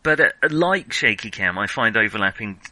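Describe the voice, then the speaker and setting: A man co-hosting a casual film discussion, analytical and measured, often breaking off into hesitant asides. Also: fairly low pitch, animated, pushed and bright, no room sound. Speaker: film podcast co-host.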